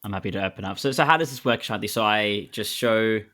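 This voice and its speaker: A man's voice: on the low side, fast talker, bright, forceful tone, no echo, clean studio sound. A man in his twenties with an Australian accent, chatting casually as a guest in a hesitant, laid-back, self-correcting way. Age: 20s. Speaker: podcast guest. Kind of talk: casual conversational interview.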